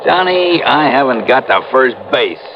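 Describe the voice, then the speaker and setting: A rueful, hard-working homicide cop in a 1950s radio crime drama. He talks in slangy, emphatic phrases at a middling pitch. The recording is dull, with clear background noise. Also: very animated, unhurried.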